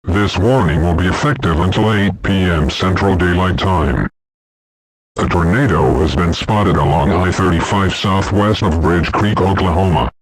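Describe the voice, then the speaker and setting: A man reading a formal, scripted weather emergency announcement in a measured, official manner. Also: low voice, slightly rough, no room sound, low-quality recording.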